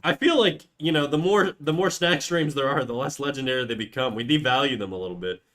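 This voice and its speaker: A man's voice: medium pitch, quick pace, a bit bright. A young man with a cold, sounding stuffy and nasal, chatting casually and swearing on a livestream. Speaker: streamer. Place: home room.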